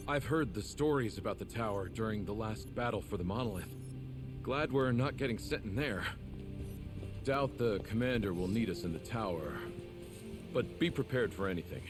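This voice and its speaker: An actor playing a wary rank-and-file soldier in a video game. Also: male voice, on the low side, lively intonation, normal speed, music in the background, close to an everyday voice. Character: wary male soldier in the ranks. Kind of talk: video game background dialogue.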